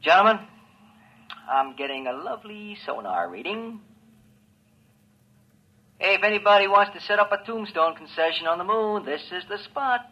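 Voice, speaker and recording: An actor playing a carefree, joking lone moon explorer in a 1950s radio drama. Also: male voice, high voice, animated, moderate pace, pauses often, pushed and bright, highs cut off, heavily acted. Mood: jaunty. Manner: playful, carefree.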